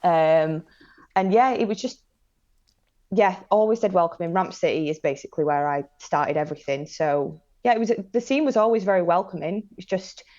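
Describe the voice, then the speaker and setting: A young woman in her late twenties speaks with a Northern English accent and a fairly low voice. She tells personal stories in a casual, enthusiastic, slangy way as a podcast guest. The recording is somewhat dull and lo-fi. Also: expressive, normal speed, a little mellow. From northern England, Manchester and Blackpool area. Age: late 20s. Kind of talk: casual chat, personal anecdotes.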